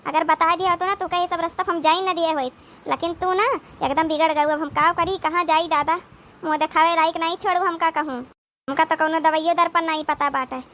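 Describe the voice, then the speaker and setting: A woman's voice: extremely high pitch, fairly even intonation, brisk, a bit bright. A woman playing a scolding but protective village sister-in-law in an Awadhi comedy cartoon, exclamatory and rustic. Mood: worried, resigned. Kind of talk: dramatic comedy cartoon dialogue.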